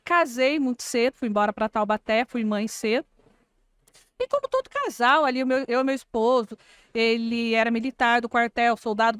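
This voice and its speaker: A woman, telling her own story with feeling as an interview guest. Her voice sits a little high. The sound is dry and close, with no room echo. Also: clean tone. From Minas Gerais, Brazil. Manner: warm, expressive storytelling. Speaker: guest, franchise founder. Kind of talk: relaxed interview chat.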